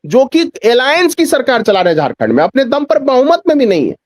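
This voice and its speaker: A man's voice: very high voice, wildly swooping pitch, brisk, dry recording, clean studio sound. A man speaking as a journalist guest in a news discussion, giving emphatic political commentary with repeated phrases and rhetorical questions.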